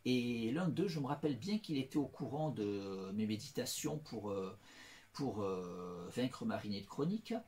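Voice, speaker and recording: A man's voice: medium pitch, lively intonation, a little slow, darkish tone. A middle-aged man talking through a meandering personal monologue, full of restarts, fillers and repeated phrases. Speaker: self-styled philosopher.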